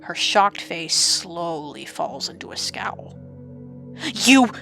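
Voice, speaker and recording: A woman's voice: low voice, slow pace, creaky, pushed and bright, faint music behind. A woman giving dramatic scripted narration as the narrator of an audio drama.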